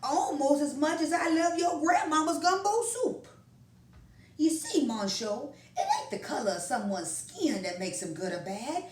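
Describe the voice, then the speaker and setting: A woman's voice: high voice, expressive, measured pace, a little mellow, pitched above the performer's own voice. A woman voicing a loving old grandfather who talks in a folksy drawl, firm and reassuring, in a roomy recording from a distance. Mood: firm, warm.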